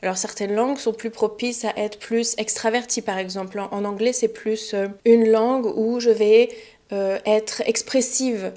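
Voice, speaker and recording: A young woman, a French teacher, giving a relaxed spoken lesson to learners, chatty and often pausing with fillers and restarts. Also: fairly high pitch. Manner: chatty, many fillers and self-corrections.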